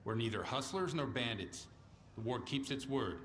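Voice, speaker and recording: Actor as stern, hard-nosed faction commander. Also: male voice, mid-range voice, expressive, a bit bright, a little hiss or hum, lightly acted. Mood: businesslike. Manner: measured, stern, transactional. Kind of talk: video game character dialogue.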